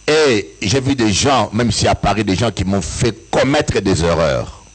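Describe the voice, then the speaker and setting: Husky bishop from africa preaching hard, voice swooping way up and down, sometimes shouting. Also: male voice, lowish voice, animated, measured pace. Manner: impassioned preaching with sing-song rises and shouted peaks. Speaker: bishop and pastor. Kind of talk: sermon. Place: church service with an audience.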